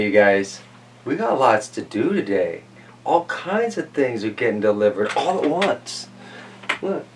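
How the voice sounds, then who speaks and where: A man hosting a casual, chatty read-aloud of picture books, playful and folksy, with rambling asides. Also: a little high. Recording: roomy distant mic with slight noise.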